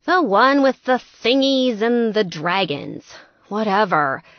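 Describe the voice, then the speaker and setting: A woman's voice: mid-range voice, slow pace, no echo, muffled recording. A woman narrator voicing a blunt, pushy young woman friend in an audiobook, brash, impatient and chatty.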